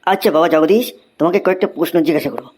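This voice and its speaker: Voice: medium pitch, rapid-fire, darkish tone, lightly acted. Someone voicing an exasperated cartoon schoolteacher, noisy recording. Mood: patient, quizzing. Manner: scolding, theatrical. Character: exasperated male schoolteacher in comic cartoon classroom. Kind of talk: Bengali comedy cartoon dubbing.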